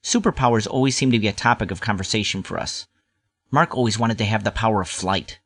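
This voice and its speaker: A man with a lowish voice narrates a scripted story in the first person. He tells it as a nostalgic look back, in the role of storyteller. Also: fast, fairly bright tone.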